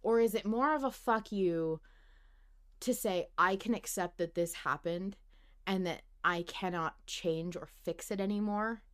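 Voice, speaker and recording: A woman hosting a podcast, debating in casual fan discussion, animated and often interrupting herself as she thinks aloud. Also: mid-range voice, unhurried, clean tone. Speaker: podcast host.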